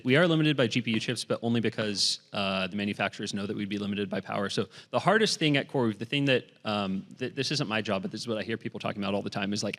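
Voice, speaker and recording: A man's voice: mid-range voice, fairly bright tone. Casual male panelist in a reverberant hall. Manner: casual, thinks aloud with "like" and "you know". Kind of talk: live panel discussion.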